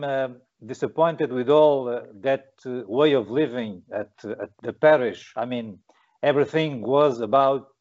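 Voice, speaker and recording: A man's voice: mid-range voice, a little mellow. A man from Portugal interviewing a guest, hesitant with many fillers and restarts. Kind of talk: video interview. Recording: dull lo-fi audio.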